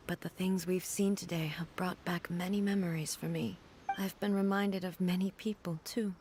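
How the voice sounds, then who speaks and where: A woman voicing a solemn, reserved female ruler in a video game, speaking slowly and softly in a measured way. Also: lowish voice, fairly even intonation, slight background noise, close to an everyday voice. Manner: slow, measured, soft-spoken.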